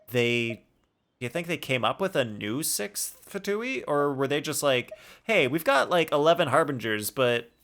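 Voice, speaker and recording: Animated male streamer with a bright voice. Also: a little high. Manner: rambling, playful, incredulous questioning. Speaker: game streamer. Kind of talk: casual live gameplay commentary, chatting with viewers. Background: faint game music.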